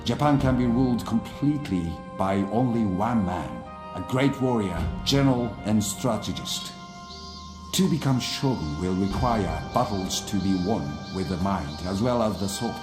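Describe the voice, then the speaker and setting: Man acting deep, solemn samurai-era sage. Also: lively intonation, close to an everyday voice. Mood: solemn instruction. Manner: solemn, measured, sententious. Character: grave, wise Japanese elder recounting samurai history and counsel. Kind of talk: dramatic scripted narration for a game cutscene. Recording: roomy echo over music.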